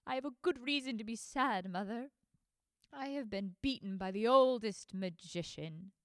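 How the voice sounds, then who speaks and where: A woman's voice: a little high, normal speed, no room sound, studio quality, pitched above the performer's own voice, close to an everyday voice. A woman doing a mournful, defeated young man. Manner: mournful, lamenting.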